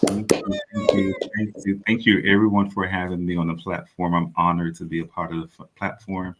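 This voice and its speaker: A man's voice: sits low, very animated, fairly quick, a bit bright. A man from Miami, a guest playwright speaking casually in an interview. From Miami, Florida. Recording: clear background ambient noise.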